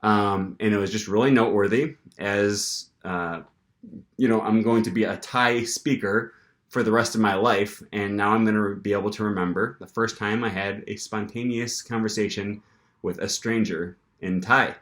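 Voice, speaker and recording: A young man vlogging casually, telling an animated story with lots of fillers and restarts. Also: on the low side, normal speed. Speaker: language-learning vlogger.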